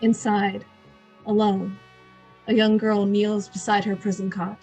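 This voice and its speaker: A woman announcer narrating an old-time radio drama in a solemn, deliberate way with dramatic pauses. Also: medium pitch, flat delivery, dark tone, low-quality recording. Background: music.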